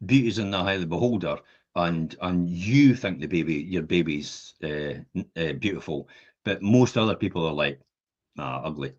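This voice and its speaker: Husky man in his fifties. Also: sits low, lively intonation, normal speed. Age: 50s. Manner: blunt, jokey, swears casually. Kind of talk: casual podcast chat. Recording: dull lo-fi sound.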